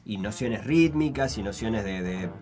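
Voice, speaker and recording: A man's voice: fairly low pitch, expressive, measured pace. A man, speaking in a casual chat, thinking aloud with many restarts. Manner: reflective, hesitant, many restarts.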